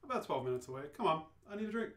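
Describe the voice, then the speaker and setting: A performer playing an urgent, cryptic male alien friend in an amateur radio play, deadpan and insistent. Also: medium pitch, racing, lightly acted. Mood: deadpan, hurried. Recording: roomy, echoing live reading.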